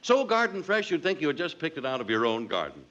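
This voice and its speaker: Animated male announcer, muffled old radio. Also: fairly high pitch, fast talker. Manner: mock-pompous, glib. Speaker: radio announcer and comic foil. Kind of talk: 1940s radio comedy banter with sales pitch. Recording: muffled, noisy old 1940s radio recording.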